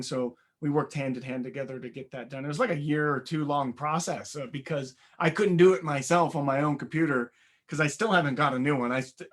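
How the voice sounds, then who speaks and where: A young man with a raspy voice, answering interview questions in a modest, rambling way with many fillers. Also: mid-range voice. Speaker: interview guest, musician. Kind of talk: casual interview chat.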